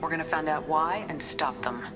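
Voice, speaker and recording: A woman's voice: deep voice, moderate pace, music in the background, lightly acted. A woman playing a resolute military commander in a video game, direct, assured and businesslike. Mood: firm, businesslike.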